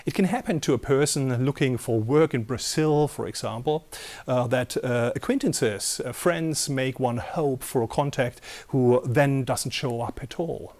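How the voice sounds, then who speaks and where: A man, giving a measured recorded lecture in a studio, with a few fillers as he explains. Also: medium pitch, a little mellow. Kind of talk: recorded lecture on intercultural communication. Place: studio with dark backdrop.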